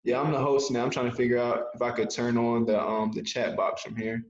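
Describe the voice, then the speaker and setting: A man's voice: mid-range voice, flat delivery, brisk. A man in his twenties giving an earnest motivational talk as a guest speaker on a video call, repeating key phrases for emphasis. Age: 20s. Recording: very echoey, dull video call audio.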